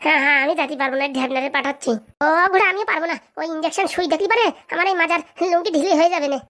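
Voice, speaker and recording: Excitable comic villager for cartoon played by a woman: high-pitched, extremely quick, rural Bengali accent, bantering. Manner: colloquial, bantering, exclamatory. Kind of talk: comedy cartoon dialogue.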